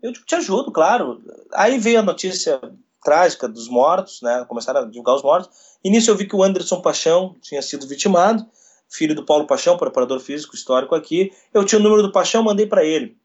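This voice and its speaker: A radio sports journalist tells his story in an interview. His voice sits a bit high and swings widely as he hurries through the events, acting out what people said. The recording sounds dull and lo-fi. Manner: fast, lively retelling with quoted dialogue.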